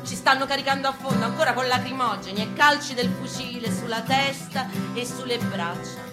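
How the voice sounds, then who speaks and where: A woman gives a dramatic first-person story reading, theatrical in delivery, moving between urgent and hushed phrasing. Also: medium pitch. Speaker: performer reading a story at a live reading. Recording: acoustic guitar playing behind the voice. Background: plucked guitar accompaniment.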